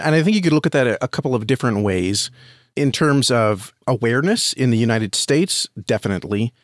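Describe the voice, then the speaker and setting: Guy being interviewed on a podcast, medium pitch, thinks as he talks, dry sound. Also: no echo. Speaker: podcast guest, himself a podcaster. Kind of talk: casual interview chat.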